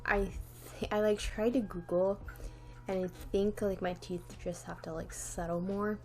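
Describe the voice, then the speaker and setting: A woman's voice: medium pitch, fairly even intonation, normal speed, a little mellow, faint music behind. A young woman vlogger chatting casually to camera with a slight lisp from a new retainer, rambling with lots of "like" and restarts. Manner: rambling, many "like"s and restarts, light laughs.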